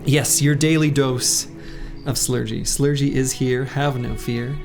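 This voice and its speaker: A man streaming a game, chatting casually with viewers in a rambling way, his voice a little hoarse. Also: medium pitch, steady tone, unhurried. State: slightly hoarse. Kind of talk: casual live-stream chat while playing. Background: faint game music.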